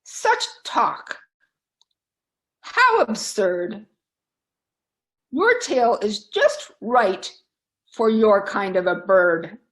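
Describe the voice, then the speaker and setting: A woman's voice: medium pitch, very animated, drawn-out words, pauses often, a bit bright, exaggerated voice, rough recording. A woman voicing a fussy, dismissive male bird doctor, a scolding uncle in a children's storybook.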